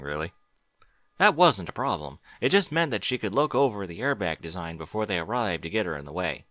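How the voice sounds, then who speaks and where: Bright, lively male story reader. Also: fairly low pitch, dry recording, clean studio sound. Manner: expressive narration. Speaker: audiobook reader. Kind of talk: fan fiction reading.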